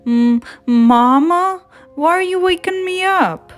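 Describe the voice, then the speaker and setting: A woman's voice: very high voice, lively intonation, takes it slowly, soft background music, voice pushed up, exaggerated voice. A woman voicing a curious, innocent little bear cub with a childlike, questioning way of talking in a storybook. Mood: sleepy.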